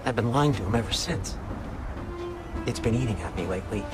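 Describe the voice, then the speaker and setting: A man's voice: fairly low pitch, expressive, a little fast, music in the background, close to an everyday voice. An actor playing an adult male dwarf craftsman in a video game, talking in a wry, conversational way. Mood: remorseful. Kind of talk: naturalistic video game acting.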